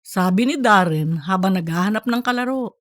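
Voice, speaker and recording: A woman's voice: mid-range voice, animated, moderate pace, dry recording, studio quality. A woman reading scripted narration for a comedic fiction story. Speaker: audiobook narrator.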